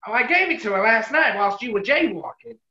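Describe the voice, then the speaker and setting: An actress as a brassy American city character in a 1940s radio play. The delivery is brash, sharp and very lively, heard in an echoey room. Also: female voice, a little high, a little fast. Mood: eager, puzzled. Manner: brash, animated. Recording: roomy, muffled online recording.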